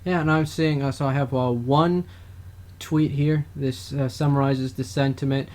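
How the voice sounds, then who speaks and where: A man's voice: medium pitch, steady tone, normal speed, darkish tone. A man co-hosting a political talk podcast, chatting casually and mocking opponents in a raised voice. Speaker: podcast co-host. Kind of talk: political talk show chat.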